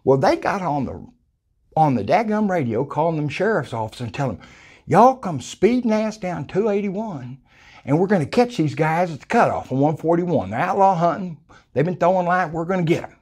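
A man's voice: fairly high pitch, very animated, moderate pace, a little mellow, husky. Older Texas man spinning a yarn. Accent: South Texas. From South Texas. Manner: folksy, colorful, acts out quoted voices. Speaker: storyteller. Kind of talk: casual storytelling. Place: small room indoors. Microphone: lapel mic.

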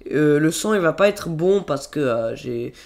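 A young man with a cold talks through a home computer tutorial, pitched slightly high. He rambles, restarts his sentences and fills pauses constantly, recorded on a computer microphone. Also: lively intonation, creaky, a little mellow. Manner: rambling, many fillers and restarts. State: has a cold. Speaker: tutorial youtuber. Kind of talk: casual screen-recorded tutorial.

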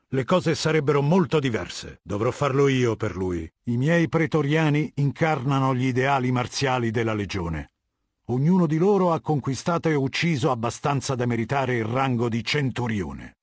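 A man playing a husky, imperious warlord. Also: mid-range voice, no echo, clean studio sound, lightly acted. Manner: imperious, measured, lecturing, disdainful. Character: middle-aged male warlord dictator, cold, haughty and commanding. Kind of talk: video game character dialogue.

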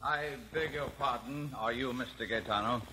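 A man's voice: medium pitch, moderate pace, loud music behind the voice, close to an everyday voice. An actor playing a proud, stern middle-aged American father, formal and authoritative, in 1950s radio drama style. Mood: businesslike. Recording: noisy vintage radio recording.

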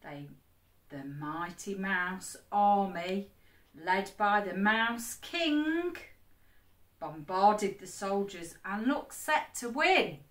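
Echoey room, woman, very slow pace, animated higher voice, storybook reading. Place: indoors at home.

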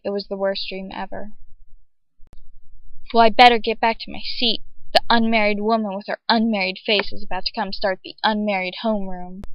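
A woman's voice: mid-range voice, no room sound, pitched below the performer's own voice, lightly acted. Casting: woman as aloof tsundere schoolgirl, blunt and dry, muffled home recording. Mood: scornful.

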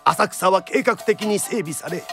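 A man's voice: high-pitched, a little slow, creaky, fairly bright tone, soft background music. A man narrating a radio drama as a theatrical storyteller with polite phrasing.

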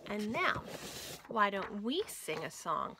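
A woman in her thirties reading picture books aloud as a storytime host, expressive and measured, as if for young children. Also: mid-range voice, noisy background. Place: small indoor room at home with bookshelves.

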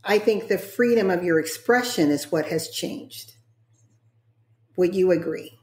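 A woman interviewing on a podcast in an affirming, deliberate way, stacking words in lists for emphasis, with an echoey sound. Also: fairly low pitch, darkish tone. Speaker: guest interviewer.

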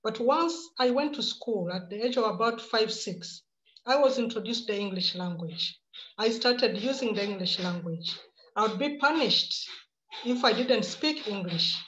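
A Ugandan woman in her fifties speaking on a video call from home, answering a panel question in a measured, reflective way and repeating words as she thinks. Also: a little high, lively intonation, a bit bright, slight background noise. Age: 50s. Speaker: panelist writer. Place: home room with bookshelves. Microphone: laptop camera on a video call. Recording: muffled video-call audio.

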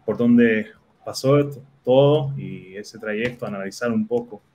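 A man's voice: mid-range voice, measured pace, mellow, lo-fi sound. A man in his thirties, born in the Netherlands and a native Portuguese speaker, answering interview questions thoughtfully with many fillers. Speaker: guest. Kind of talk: casual video-call interview. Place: small home office.

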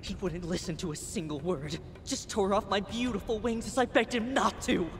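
A woman's voice: mid-range voice, moderate pace, lightly acted. Haughty, pompous child angel villain played by a woman. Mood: bitter scorn. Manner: pompous, declamatory. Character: self-righteous, haughty childlike angel villain, easily enraged. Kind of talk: video game voice acting. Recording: long reverb under music.

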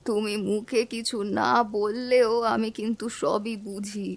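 A woman voicing an affectionate, gently reproachful elderly village mother in a cartoon family drama. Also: medium pitch, steady tone, a little slow, vocal fry. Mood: concerned.

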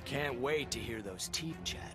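An actor playing a cocky, sarcastic criminal in a video game, delivering taunts. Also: male voice, mid-range voice, lively intonation, normal speed, over loud music, lightly acted. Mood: sneering. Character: sneering, cocky cold-gun criminal in a parka.

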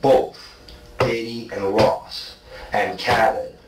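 A man's voice: mid-range voice, fairly even intonation, takes it slowly. A man in his forties from South Africa and Argentina answers in a police interrogation, insistently, often checking with "okay?". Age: 40s. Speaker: suspect being interrogated. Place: small bare indoor interview room. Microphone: distant fixed room camera microphone. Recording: roomy, distant, noisy surveillance recording.

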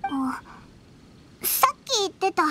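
A woman voicing a small, curious, childlike companion with a squeaky, cute, blunt delivery in a Japanese anime game. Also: big pitch swings, slow, noisy background, exaggerated voice. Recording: studio voice over background music.

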